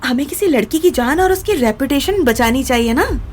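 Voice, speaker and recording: High-voiced, anxious young woman friend. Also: animated, normal speed, a little mellow, a little hiss or hum. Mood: earnest persuading. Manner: pleading, persuasive, mixes in English phrases. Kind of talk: audio drama acting.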